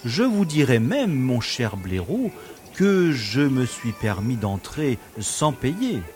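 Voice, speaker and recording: An actor playing a courteous prison director in an audio drama, with florid, polite phrasing and a matter-of-fact manner. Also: male voice, medium pitch, big pitch swings, takes it slowly, a little mellow, soft background music. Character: courteous, genial prison director, proud of his inmates.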